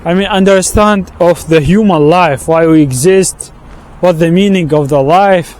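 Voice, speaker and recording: A fairly high-voiced man with an Arabic accent, Arabic being his first language, talks slowly and haltingly, restarting his phrases often. He records a vlog while walking on a street, with traffic sounding behind him. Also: a little mellow. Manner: halting, many restarts and repeated words. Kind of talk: walking-and-talking vlog monologue. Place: outdoors on a street with passing traffic.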